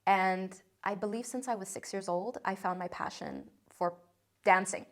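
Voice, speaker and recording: A young woman in her twenties speaks with an American accent, relaxed and conversational as she addresses her viewers. Her talk is chatty and upbeat, sprinkled with "like" and "um", sitting at a mid-range pitch for a woman. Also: moderate pace. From China. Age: 20s. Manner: chatty and upbeat, frequent "like" and "um" fillers. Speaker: content creator. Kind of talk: casual vlog talk to camera.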